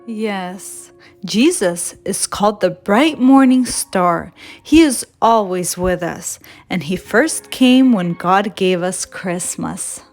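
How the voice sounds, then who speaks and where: A woman voicing a patient, loving mother bear who explains things gently in a storybook. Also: mid-range voice, lively intonation, slow, faint music behind.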